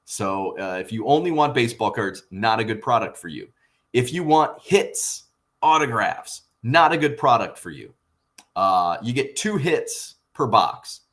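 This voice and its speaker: A man with a husky morning voice chatting casually in a rambling way, filling pauses with lots of ums and uhs as he hosts his own vlog. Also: mid-range voice, big pitch swings, a bit bright. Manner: rambling, filler-heavy, conversational. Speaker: card collecting vlogger.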